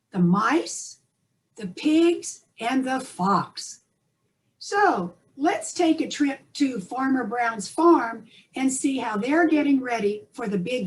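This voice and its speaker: A woman host giving a welcome speech to introduce a performance. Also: a little high. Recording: noticeable background noise.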